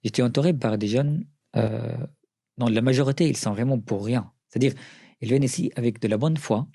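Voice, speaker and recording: Man with a Syrian accent, gravelly and husky, mellow tone, dry close sound, earnest interview talk. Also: medium pitch, brisk, no room sound. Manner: earnest, with frequent hesitations and restarts. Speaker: writer and journalist, interview guest. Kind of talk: conversational interview answers.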